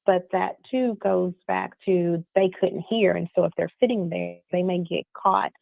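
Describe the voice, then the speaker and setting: A woman co-host speaks in a soft, lowish voice with a gravelly fry. She talks calmly and at a measured pace. Her voice comes through a thin, tinny phone line. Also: no echo. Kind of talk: casual call-in chat.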